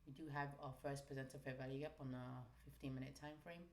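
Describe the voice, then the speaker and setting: Low-voiced woman teaching trading. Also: fairly even intonation, quick pace, echoey room, slight background noise. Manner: emphatic, ends phrases with "right?". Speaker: trading stream host. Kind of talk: live trading lesson.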